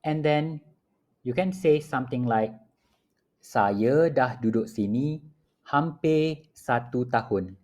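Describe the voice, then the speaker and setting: A man teaching phrases at home, repeating each one slowly and clearly for learners in a patient lesson style. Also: mid-range voice, darkish tone. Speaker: language teacher. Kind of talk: slow, repetitive phrase-by-phrase teaching. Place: home apartment.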